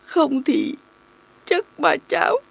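A woman voicing a humble, concerned old village grandmother with a creaky voice in an animated fairy tale. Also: high voice, slow pace, exaggerated voice. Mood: grateful.

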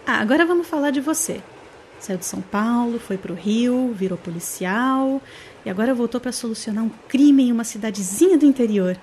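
A woman's voice: on the high side, lively intonation, fairly quick, a little mellow, a little hiss or hum, natural voice. An actress playing a chatty, teasing, curious woman in an audio drama.

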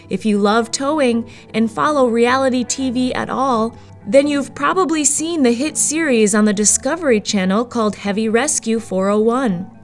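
A woman host giving an upbeat, scripted promotional voice-over. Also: fairly high pitch. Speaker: show host and narrator.

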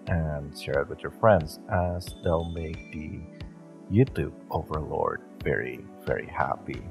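A man speaking as a channel host, giving a casual outro to his listeners. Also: very deep voice.

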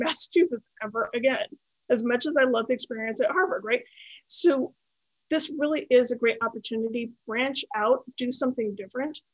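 A woman's voice: a little high, dry recording, low-quality recording. Woman, Spanish first language, encouraging, frequent "right?" tags, video call, bone-dry sound. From United States. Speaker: program speaker answering student questions. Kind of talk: webinar Q&A talk.

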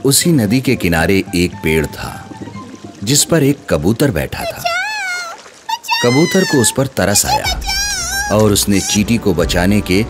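A man narrating a scripted children's moral story in an expressive storytelling manner. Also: lowish voice, slow, long pauses. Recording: music under the voice.

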